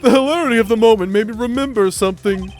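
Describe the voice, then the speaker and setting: A pompous male businessman from a courtroom video game, as an amateur dubber puts him on. The voice sits high and drips with condescension. The delivery is affected, flowery and theatrical, over faint music. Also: fast, darkish tone, lightly acted. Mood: smug, amused.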